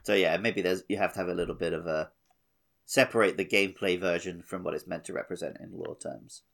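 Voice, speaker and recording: Low-voiced man in casual gaming chat. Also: steady tone, brisk, gravelly, fairly bright tone. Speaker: podcast co-host.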